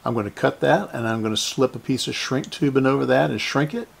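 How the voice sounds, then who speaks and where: A man gives a hands-on repair demonstration, explaining informally and methodically step by step in a creaky voice. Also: medium pitch, fairly quick. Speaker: host of a radio restoration series.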